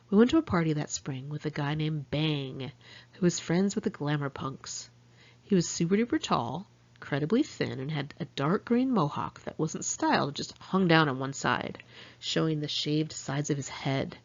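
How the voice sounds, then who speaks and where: Woman, American accent, low voice, candid conversational audiobook narration, slightly dull home recording. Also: expressive, normal speed. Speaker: author reading own memoir. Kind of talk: first-person audiobook narration.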